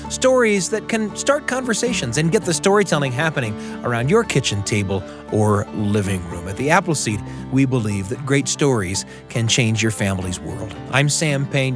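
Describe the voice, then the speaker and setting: An American man hosting a radio show, reading scripted narration. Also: mid-range voice.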